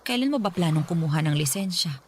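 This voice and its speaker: A woman's voice: fairly low pitch, lively intonation, fast, slight background noise, natural voice. A woman playing a stern, nagging widowed mother in a radio drama, scolding and lecturing her daughter.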